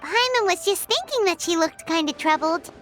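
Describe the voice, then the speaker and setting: A woman voicing a tiny, excitable floating fairy companion in an anime game, squeaky, childlike and exclamatory. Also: normal speed. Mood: concerned. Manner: refers to self in third person, exclamatory. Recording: loud music behind the voice.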